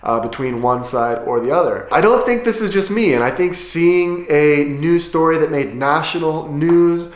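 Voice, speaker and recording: A man's voice: on the high side, moderate pace, few pauses. An American man, a young adult, talking casually and thoughtfully to camera, often restarting his sentences. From United States. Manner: reflective, with frequent restarts and fillers. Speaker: vlogger. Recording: echoey room.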